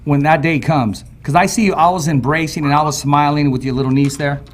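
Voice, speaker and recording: A man's voice: fairly high pitch, a little fast. A man hosting a casual interview on a video call, jokey and teasing as he asks questions. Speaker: host.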